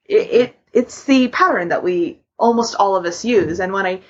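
Female co-host, medium pitch, casual and halting delivery, dull lo-fi sound. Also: husky. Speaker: podcast co-host. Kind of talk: casual discussion.

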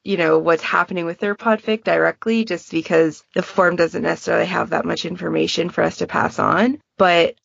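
A woman's voice: fairly low pitch, steady tone, a little fast, creaky, few pauses, no room sound, muffled recording. A woman hosting a podcast in a casual, chatty style. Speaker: podcast host.

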